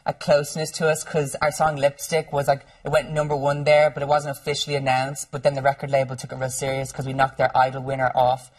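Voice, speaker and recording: Fast-talking eager young man. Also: medium pitch, completely flat delivery, slightly rough. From Ireland. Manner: eager, rushed, lots of "like". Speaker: pop singer guest. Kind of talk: casual radio interview. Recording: distant mic in a studio.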